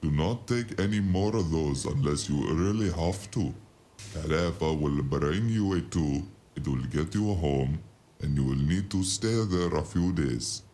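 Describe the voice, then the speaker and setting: A man playing a gruff but caring underworld fixer in an audio drama. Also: a bass voice, a little slow, dark tone. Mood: stern.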